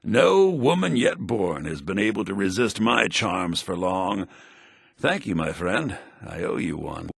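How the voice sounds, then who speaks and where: An actor playing a sly, money-minded, lecherous merchant in a video game, with a smug theatrical manner. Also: male voice, sits low, sing-song, a little slow, vocal fry, a bit bright, slightly rough, no room sound, clean studio sound, full character voice. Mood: smug, flirtatious. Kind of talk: video game character dialogue lines.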